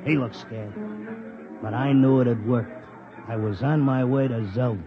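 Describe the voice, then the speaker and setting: A man's voice: medium pitch, fairly even intonation, normal speed, close to an everyday voice. A man playing a streetwise, cynical racetrack clocker who narrates with wisecracking slang in a 1950s radio drama. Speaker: narrator and lead character. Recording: dull 1950s radio recording with music.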